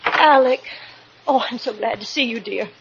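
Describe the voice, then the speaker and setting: An anxious, high-voiced wife, vintage radio. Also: female voice, normal speed, creaky, very noisy. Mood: gentle pleading. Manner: hesitant, pleading, earnest. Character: worried, frightened wife pleading with her husband. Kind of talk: old-time radio drama acting. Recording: dull vintage radio recording.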